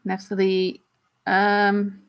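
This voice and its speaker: Woman, 30s, non-native English speaker, livestream host; relaxed, chatty, lots of fillers and laughs, recorded on a webcam in a small home room. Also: medium pitch, clean tone. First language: not English. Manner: chatty, frequent "um"s and quick laughs, gestures while explaining. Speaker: comic artist and livestream host. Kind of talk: casual livestream Q&A. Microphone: webcam at desk distance.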